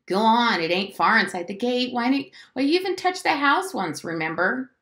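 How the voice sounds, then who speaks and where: A woman's voice: on the low side, big pitch swings, moderate pace, echoey room. A woman voicing a bossy, boastful young boy, an older brother, scornful and commanding in an audiobook story. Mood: taunting.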